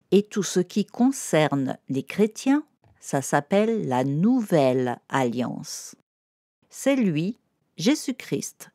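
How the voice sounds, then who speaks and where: A woman's voice: lowish voice, darkish tone, no echo, clean studio sound. A woman narrating a scripted lesson for children, explaining simply and directly as if to one child.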